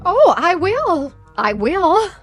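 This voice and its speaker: An effusive neighbor lady in a radio drama. Her high voice swoops with forced, flattering cheer while music plays clearly behind her. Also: slow pace, heavily acted. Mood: gushing. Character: gushing, fearfully flattering small-town neighbor lady.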